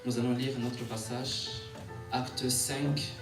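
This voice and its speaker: A male preacher with a medium-pitched voice, heard at some distance in an echoey room. He delivers a sermon, repeating and rephrasing his lines and punctuating them with amens, while music plays clearly behind him. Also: flat delivery. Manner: halting when reading aloud, repeats and rephrases phrases; rhythmic repetition, calls for amens. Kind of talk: sermon with scripture reading.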